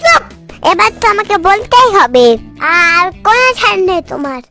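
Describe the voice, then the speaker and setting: An extremely high, squeaky small child from a comic cartoon. The kid is cheeky and bossy, scolds and teases, and mangles words. Music plays behind the voice. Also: sing-song, normal speed, gravelly, pushed and bright, heavily acted. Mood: bossy scolding.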